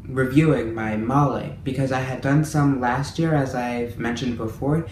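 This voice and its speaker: Chatty young man in a roomy space. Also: mid-range voice. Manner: friendly, chatty, with small restarts. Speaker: language-learning vlogger. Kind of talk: casual monthly update to camera. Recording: roomy echo with faint room noise.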